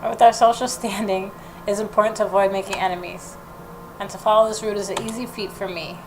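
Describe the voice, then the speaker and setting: A woman hosting a gaming video, reading the story narration aloud and adding expressive reactions of her own. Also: medium pitch, brisk, rough recording. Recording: noticeable background noise.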